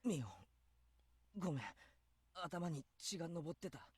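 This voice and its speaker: A man's voice: fairly high pitch, normal speed, a little mellow, husky. A man playing a frustrated, remorseful teenage schoolboy in an anime visual novel, with a blunt, subdued manner.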